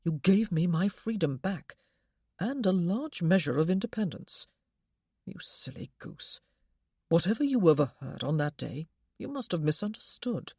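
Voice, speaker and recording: Woman doing a devoted young husband, emphatic and earnest, low mellow tone, husky. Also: very animated, a little slow, dry recording, clean studio sound, exaggerated voice.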